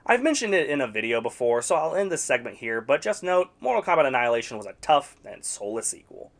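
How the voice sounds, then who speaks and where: Lively, sarcastic male reviewer. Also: mid-range voice, fast, vocal fry. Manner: sarcastic, animated, blunt opinions. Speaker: video game movie reviewer. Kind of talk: scripted video essay commentary.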